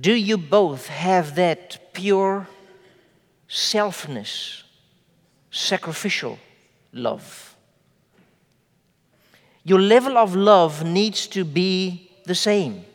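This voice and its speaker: Man, Afrikaans first language, pastor, sermon, emphatic rhetorical questions, slightly high voice, reverberant hall. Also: expressive. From South Africa. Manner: measured preaching with slow emphatic phrases and rhetorical questions.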